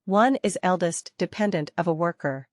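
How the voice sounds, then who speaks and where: Lower-pitched woman teaching step by step. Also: moderate pace, no echo, clean studio sound. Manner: repeats key points, poses questions to learners. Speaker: software trainer.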